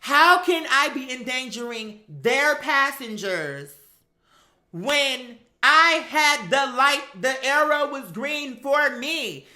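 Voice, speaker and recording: A woman with a bright, forceful voice recounts a personal experience in a roomy, echoing space. She is sassy and emphatic, peppers her telling with slang and swearing, and slips into acting out what people said. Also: sits high, lively intonation, slow pace. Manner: sassy, emphatic, acts out quoted dialogue; profane, slangy. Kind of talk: animated personal storytelling.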